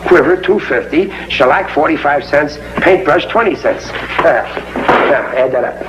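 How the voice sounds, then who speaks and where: A blustery middle-aged American dad played by a man in a 1950s TV comedy, high-voiced and swooping all over. He is stubborn, stammering and proud of his bumbling handiwork. The sound is a noisy, muffled old soundtrack. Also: expressive, moderate pace, lightly acted. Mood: stubborn, instructing. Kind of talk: 1950s television sitcom acting.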